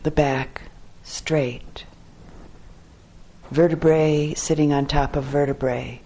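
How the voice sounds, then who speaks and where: A woman teaching guided meditation in a calm, measured way, with short phrases and long pauses between them. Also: deep voice.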